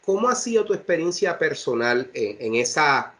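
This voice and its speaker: A man's voice: on the high side, very animated, moderate pace, sharp tone. A man hosting a podcast, asking long, hedging questions with many restarts. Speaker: host. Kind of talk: podcast interviewing.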